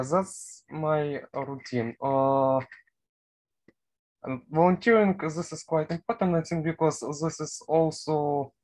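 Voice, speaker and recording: Slow-paced young Ukrainian man, halting. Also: medium pitch, low-quality recording. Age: young adult. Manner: halting, searching for words in non-native English. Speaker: university student, interview guest. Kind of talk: unscripted interview answers.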